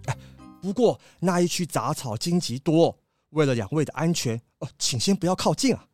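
Capybara butler, cartoonish radio play male actor: obsequious and formal, high voice, swooping intonation, easily flustered. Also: lively intonation, normal speed, darkish tone, no echo, clean studio sound, faint music behind, lightly acted. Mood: nervous, evasive. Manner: obsequious, formal, stammering when evasive. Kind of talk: children's mystery audio drama.

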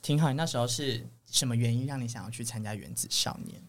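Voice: medium pitch, fast talker. Playful, teasing Taiwanese podcast host. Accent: Taiwanese Mandarin. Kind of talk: casual chatty interviewing.

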